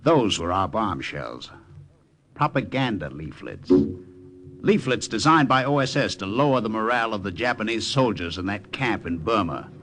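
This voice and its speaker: A man's voice: fairly low pitch, lively intonation, moderate pace, fairly bright tone, a little hiss or hum. A man playing a composed, patient Japanese American airman and narrator in a 1950s radio drama. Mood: reflective narration. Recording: old 1950s radio broadcast with music.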